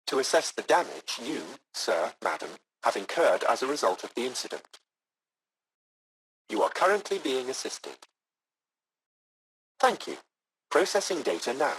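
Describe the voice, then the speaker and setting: Formal, slightly husky male AI assistant. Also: medium pitch, a bit bright, exaggerated voice, low-quality recording. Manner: formal, measured, scripted customer-service politeness. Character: courteous, formal AI taxi company subroutine, male, unfailingly polite. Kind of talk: video game voice acting.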